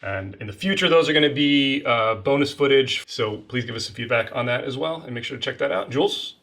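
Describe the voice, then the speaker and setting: A man's voice: medium pitch, brisk, vocal fry, fairly bright tone. Upbeat man leading a whisky review. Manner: upbeat, leads the segments and tallies scores. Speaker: podcast co-host. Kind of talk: casual spirits review chat.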